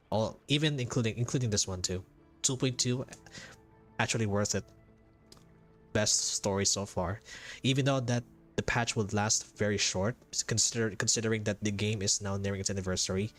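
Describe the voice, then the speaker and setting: Low-voiced man streaming over game music. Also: a bit bright. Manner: halting, repeats phrases for emphasis. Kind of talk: casual livestream commentary and reaction.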